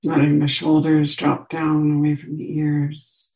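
A woman teaching a guided meditation, giving gentle instructions with long pauses between short phrases. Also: low-pitched, completely flat delivery, poor audio.

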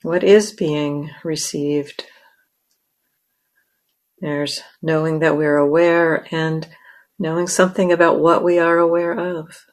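Slow, low-pitched woman guiding meditation. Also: flat delivery. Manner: measured, with long pauses between short phrases. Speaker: meditation teacher. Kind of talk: guided meditation.

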